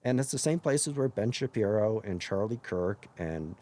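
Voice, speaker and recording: A hoarse man hosting his own live stream, talking earnestly in a rambling way and often correcting himself mid-sentence. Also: fairly low pitch, animated, moderate pace, mellow. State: hoarse after illness. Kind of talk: casual live-stream monologue.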